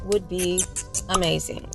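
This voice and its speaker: A woman playing chatty teasing guest, slow delivery, faint music. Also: lowish voice, fairly even intonation, gravelly. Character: middle-aged friend Meredith, chatty and teasing. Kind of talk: radio drama acting.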